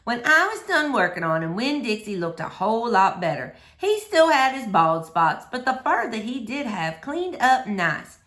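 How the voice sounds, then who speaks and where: A woman reading a storybook aloud to children with expressive narration. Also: fairly high pitch, moderate pace, hardly any pauses. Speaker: read-aloud host for children.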